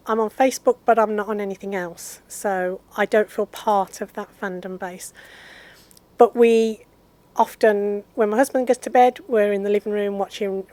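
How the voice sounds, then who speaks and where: A woman answering interview questions in a relaxed, rambling way. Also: medium pitch, dry recording.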